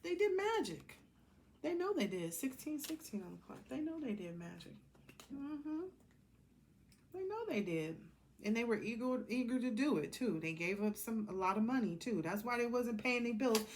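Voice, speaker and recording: A woman's voice: on the high side, sing-song, normal speed, a little hiss or hum. An American woman giving a casual, rambling tarot card reading in a blunt, sassy, colloquial way.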